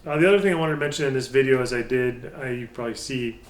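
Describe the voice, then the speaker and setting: Male hobbyist reviewer, medium pitch, roomy echoey sound, casual and unscripted, halting as he thinks aloud. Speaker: hobbyist speaker reviewer and channel host. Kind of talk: casual unscripted product talk. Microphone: pair of budget stereo microphones.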